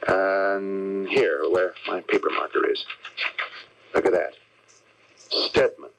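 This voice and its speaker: An actor with an American accent playing an eager, theatrical younger detective in a radio mystery drama. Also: male voice, on the low side, full character voice. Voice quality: gravelly. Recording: faintly noisy 1970s radio broadcast.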